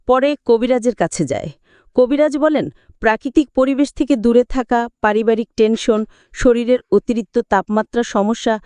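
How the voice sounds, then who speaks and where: A woman's voice: fairly high pitch, a little mellow, clear, resonant tone, no echo. A woman reading a scripted, dramatic retelling of a film as a movie explainer. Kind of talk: scripted dramatic story narration.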